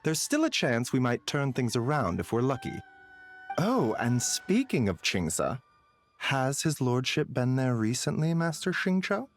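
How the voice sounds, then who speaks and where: A courteous male physician from a video game, with a medium-pitched voice. He phrases things formally and modestly, with expressive rises and falls. Soft music sits underneath. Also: unhurried. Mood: thoughtful. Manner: polite, formal, careful phrasing. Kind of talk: English game voice acting.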